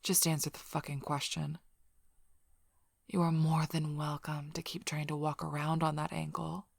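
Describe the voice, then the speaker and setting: A woman playing a curt, sardonic, guarded female werewolf pack leader in an ASMR role-play, speaking bluntly and drily in a breathy, often whispered voice. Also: low voice, flat delivery, no room sound. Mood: irritated. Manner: blunt, dry, impatient, unhurried.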